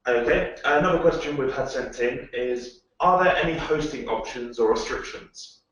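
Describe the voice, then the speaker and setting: A man's voice: mid-range voice, moderate pace, fairly bright tone. A man reading out audience questions in a brief, matter-of-fact way. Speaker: question moderator. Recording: distant, echoey, dull sound.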